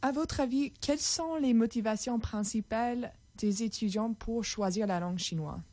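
A woman's voice: a little high, moderate pace, mellow, no room sound. A woman radio host announcing the station and politely asking a guest questions. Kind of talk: radio interview hosting.

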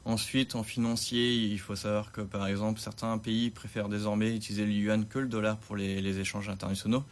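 A young man in his twenties, a student, talking through his reasons at a measured pace and stumbling on a few words. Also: lowish voice, flat delivery. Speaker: student, interviewee. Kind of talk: prepared testimony.

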